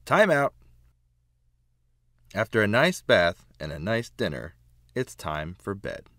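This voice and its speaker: Animated low-voiced man reading a storybook. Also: takes it slowly, no echo, clean studio sound. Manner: expressive, emphatic phrasing. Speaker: children's book reader, narrator. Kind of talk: read-aloud storybook narration.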